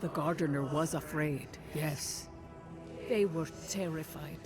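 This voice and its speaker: Casting: ancient guardian spirit of a lost people played by an actor, solemn, formal, very unhurried, game cinematic with music. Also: medium pitch, close to an everyday voice. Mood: grave. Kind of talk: scripted dramatic game narration. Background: clear background music.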